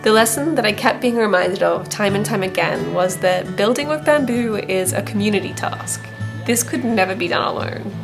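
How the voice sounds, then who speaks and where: A woman's voice: mid-range voice, moderate pace, vocal fry, music in the background. A woman narrating a vlog in a scripted voice-over, reflective and sincere. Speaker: vlogger, narrator.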